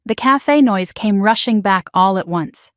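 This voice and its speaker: A woman narrates a scripted first-person story in a calm, even, measured way. Her voice sits at an ordinary pitch for a woman, and the recording is close and dry, with no room around it. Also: dry recording.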